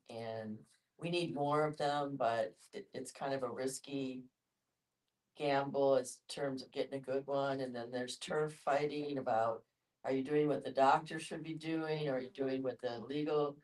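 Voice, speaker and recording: A woman with a low-pitched voice speaks informally in a discussion group, laying out points and putting questions to the others. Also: steady tone, unhurried, darkish tone, poor audio.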